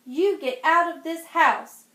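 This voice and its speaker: A woman puts on a fussy storybook fish, full of indignant protest. The voice is high-pitched and emphatic, forever scolding. The room around it is echoey. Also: takes it slowly, pushed and bright, heavily acted. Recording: roomy echo.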